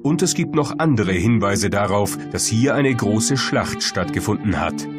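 A man's voice: on the low side, lively intonation, a little slow. A man reading scripted documentary narration as a voice-over. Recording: voice-over over loud background music. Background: prominent music.

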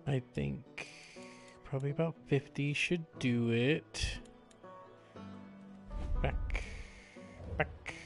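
A man's voice: mid-range voice, drawn-out words, vocal fry, long pauses. A man chatting casually as he live-streams a video game. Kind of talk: casual game commentary chat. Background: game audio and music.

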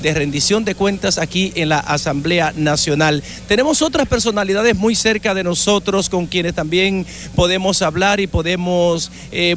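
A man reporting live from an outdoor event, holding a handheld microphone, formal and steady in his delivery. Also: sits high, a little hiss or hum. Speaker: field reporter. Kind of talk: live news interview. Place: outdoors at a crowded official event.

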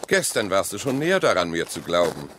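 An evasive, needling husband in a radio-play marriage gone sour. His lowish voice has a lively melody and a sharp edge. He speaks in a dry, measured, goading way. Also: slight background noise, exaggerated voice.

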